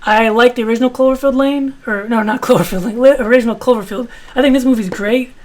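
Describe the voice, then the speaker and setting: A man in his twenties with a high-pitched voice, reviewing his film collection in a rambling, casual way with some swearing. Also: steady tone, fast. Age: 20s. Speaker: video host.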